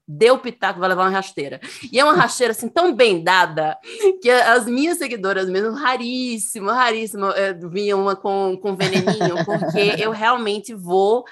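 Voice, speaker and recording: A woman's voice: medium pitch, fairly bright tone. A woman content creator in casual conversation, speaking emphatically and animatedly, with frequent laughter.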